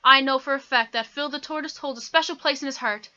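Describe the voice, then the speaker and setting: A woman's voice: sits high, fast talker, pushed and bright. A young female student playing a confident defense attorney in a radio play, recorded on a lo-fi microphone. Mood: self-assured. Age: teenager or young adult student.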